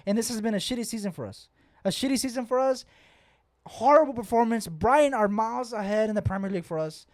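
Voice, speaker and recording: A man's voice: high-pitched, darkish tone, no room sound, clean studio sound. A man co-hosting a football podcast in casual banter, blunt and slangy, swearing and repeating phrases insistently. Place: podcast studio.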